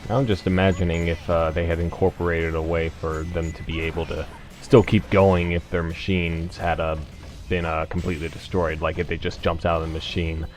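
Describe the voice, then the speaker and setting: A man giving casual video game commentary, explaining things in a hesitant, measured way. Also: sits low, flat delivery. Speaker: host of a group video game playthrough. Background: video game music.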